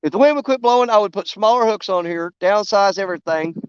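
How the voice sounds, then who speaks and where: A man's voice: high voice, fast talker, clear, resonant tone, no echo, dull audio. A man, losing his voice and coughing, chatting on a live stream while fishing by a river, rambling and poking fun at himself. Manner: rambling, self-mocking, drawling. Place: outdoors by a river.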